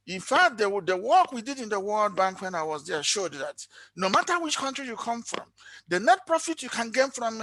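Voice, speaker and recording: An economist intervenes in an online forum without a script. The delivery is lively in pitch and earnestly explanatory, posing questions along the way. The sound is dry. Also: creaky, dry recording. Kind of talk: unscripted conference intervention. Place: remote online conference call.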